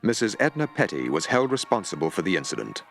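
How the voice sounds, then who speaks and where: A man's voice: low voice, sing-song, fast, vocal fry, fairly bright tone. A man narrating a mock documentary in a grave, dramatic tone. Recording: music underneath.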